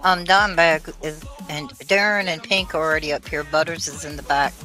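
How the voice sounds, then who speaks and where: Bright, organizing woman co-host. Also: sits low, steady tone, moderate pace. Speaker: co-host coordinating participants on a livestream. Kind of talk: casual livestream chat. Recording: music playing behind the voice.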